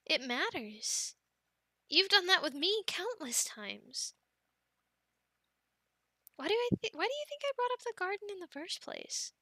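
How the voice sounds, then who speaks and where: A young woman voicing an affectionate, teasing girlfriend in an ASMR roleplay, speaking softly, giggling and dropping into whispers, close to the mic. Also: high voice, dry recording, heavily acted. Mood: reassuring. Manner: soft and giggly, drifting into whispers.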